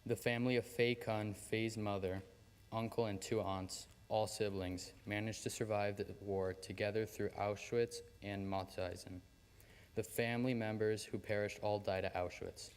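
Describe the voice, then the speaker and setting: A young man solemnly reading a list of names and dates in a steady, even recitation. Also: on the low side, moderate pace, clean tone. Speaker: student reader. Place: large hall. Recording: long hall reverb with faint ambience.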